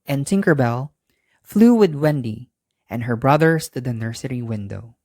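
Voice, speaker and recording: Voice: medium pitch, lively intonation, moderate pace, dark tone, no room sound, studio quality. A storyteller narrating a scripted bedtime story for children.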